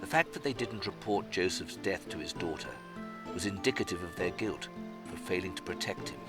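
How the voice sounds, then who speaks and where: A man playing a solemn, wise old storytelling spirit in a fantasy game fan dub, speaking formally and gravely. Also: low-pitched, a little fast, lightly acted. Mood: solemn narrating. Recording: loud music under the voice. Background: music.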